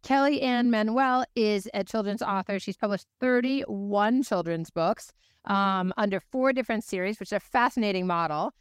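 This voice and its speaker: A woman's voice: mid-range voice, moderate pace, clean tone, no room sound, studio quality. An American woman hosting an interview show in an upbeat, conversational way. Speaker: host.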